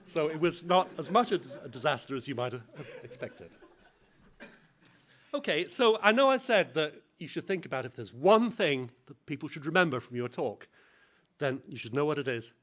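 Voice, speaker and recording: Male conference speaker, lively pitch swings, self-deprecating, plain-spoken. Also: moderate pace. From English-speaking country. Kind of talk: lecture on public speaking.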